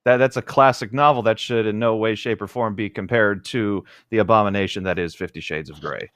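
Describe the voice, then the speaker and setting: A man's voice: on the low side, brisk, a bit bright, no echo. A man co-hosting a podcast, reading a book aloud and chatting casually, with some stammering and dry jokes. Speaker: podcast co-host.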